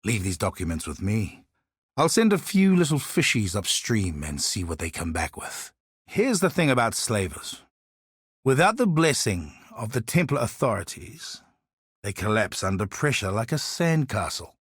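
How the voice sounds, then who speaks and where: A man's voice: lowish voice, sing-song, normal speed, husky, dry recording, clean studio sound. An actor voicing a proud, earnest and wry islander man who seeks justice for his enslaved people, in scripted video game dialogue. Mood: grave, determined.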